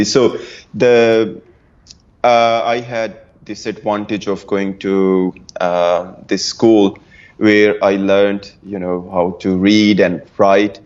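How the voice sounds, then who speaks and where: A young man, a guest telling stories in a relaxed, casual way with frequent restarts. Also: fairly low pitch, highs cut off. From Pakistan, Punjab. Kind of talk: casual interview answers.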